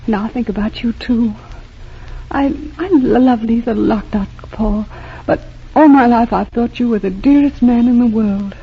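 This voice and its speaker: Radio play lead: earnest, lovelorn young woman, halting emotional delivery, slightly rough voice, narrow vintage broadcast sound. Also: fairly high pitch. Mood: wistful, tearful. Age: young adult. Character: earnest young woman torn by love, anguished. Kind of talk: 1940s radio drama acting. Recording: muffled, tinny old radio broadcast.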